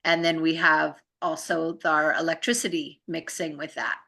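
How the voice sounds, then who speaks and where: A woman's voice: sits low, flat delivery, normal speed, creaky, sharp tone. A woman explaining things in casual conversation, in an earnest, informal, rambling way with frequent check-in phrases.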